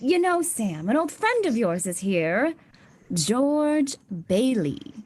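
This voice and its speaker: An actress plays a teasing, spirited young woman in a radio drama. Her high voice lilts up and down, drawing words out slowly with a pointed edge. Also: very animated, darkish tone, full character voice. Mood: bright, pointed. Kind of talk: old-fashioned radio play acting.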